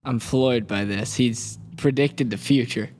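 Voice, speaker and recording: A man's voice: medium pitch, unhurried. A young man, a guest actor on a casual podcast, talking eagerly with many hesitations. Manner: enthusiastic, hesitant with fillers.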